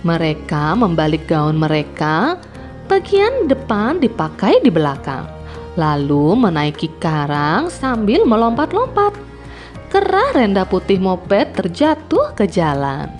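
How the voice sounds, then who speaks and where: A woman narrating a scripted fairy tale for children in an expressive, deliberate read-aloud manner. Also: mid-range voice. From Indonesia. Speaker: children's storyteller. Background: music.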